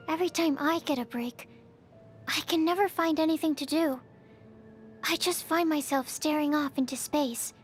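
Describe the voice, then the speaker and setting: An actress voicing a shy, bookish young female bioalchemist in a video game, soft-spoken, hesitant, polite and precise, easily flustered. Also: high voice, natural voice. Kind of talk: video game character voice lines.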